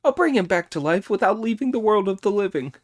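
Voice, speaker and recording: A man's voice: sits high, fast talker, voice pushed up. A man reading an audiobook, voicing a cheeky, boastful male monkey king who bosses the others around. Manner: boastful, commanding, excitable.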